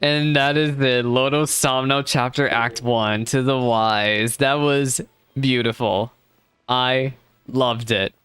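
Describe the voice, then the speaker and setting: Very deep-voiced woman, casually reacting. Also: slow, smooth, no echo. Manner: expressive, talks back to the game's characters. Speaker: host of a game cutscene reaction video. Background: game music and dialogue.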